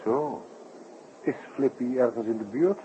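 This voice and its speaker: A man playing an investigator's brisk, informal companion in a 1960s radio drama, with clipped colloquial replies. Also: medium pitch, mellow, close to an everyday voice. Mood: easy, curious. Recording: muffled, noisy 1960s radio recording.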